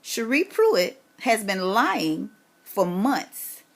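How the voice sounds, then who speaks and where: A woman's voice: mid-range voice, sing-song, very slow. An aggrieved woman making a long complaint on a phone call, speaking insistently and emphatically. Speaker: caller, parent making a complaint.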